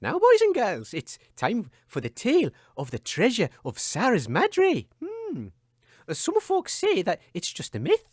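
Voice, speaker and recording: A man's voice: high voice, no echo, studio quality, pitched above the performer's own voice, full character voice. A man voicing a cheerful, folksy children's storyteller with a Geordie accent, telling tales in a sing-song way for a radio comedy.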